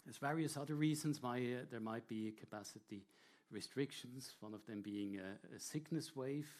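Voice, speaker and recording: A man presenting a technical talk to an audience in a measured, explanatory way, with short hesitant pauses. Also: mid-range voice, darkish tone. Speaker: air traffic control presenter.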